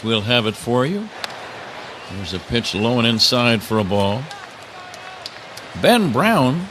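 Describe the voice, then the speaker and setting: A male radio play-by-play man calls baseball in a bright, forceful voice that sits a little low. His delivery swings widely in pitch as he follows the action, with steady outdoor ballpark ambience behind him. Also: a little hiss or hum. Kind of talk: live radio play-by-play commentary.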